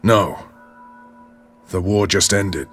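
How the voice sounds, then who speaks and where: Brusque, low-voiced soldier captain. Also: male voice, extremely slow, fairly bright tone, soft background music, exaggerated voice. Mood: resolute. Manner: brusque, decisive. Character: blunt, patriotic male soldier captain. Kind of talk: cinematic game voice acting.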